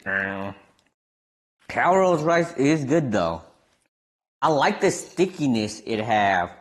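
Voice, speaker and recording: Young male streamer, Midwestern accent, easygoing rambling chat, mid-pitched voice. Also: slow pace. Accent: Midwestern American. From Wisconsin, US Midwest. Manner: laid-back, rambling, lots of fillers, animated when amused. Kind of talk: casual chat with viewers.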